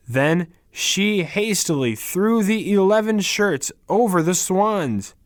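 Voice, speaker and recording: Very slow, deliberate male storyteller. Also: high-pitched, no room sound, studio quality. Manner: deliberate, carefully enunciated phrasing with long pauses. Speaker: story narrator. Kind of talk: slow scripted fairy-tale narration for language learners.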